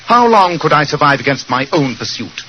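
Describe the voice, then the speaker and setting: A man's voice: a little high, big pitch swings, fairly quick, bright, forceful tone. A man playing a restless, brilliant, imperious English detective with a British accent, speaking in a commanding, theatrical 1940s radio drama manner. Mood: restless impatience. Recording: muffled, noisy 1940s radio recording.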